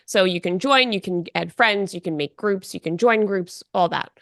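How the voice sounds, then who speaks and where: A woman on a non-profit board explaining her group's work in a podcast interview, measured and full of "you know". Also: mid-range voice, a bit bright, no room sound, clean studio sound.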